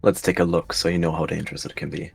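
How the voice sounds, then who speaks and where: A stern, guarded warrior cat mentor, played by a man. His voice is very low and he speaks as a teacher in a fantasy roleplay, with music underneath. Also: little pitch movement, quick pace, creaky, breathy edge, exaggerated voice.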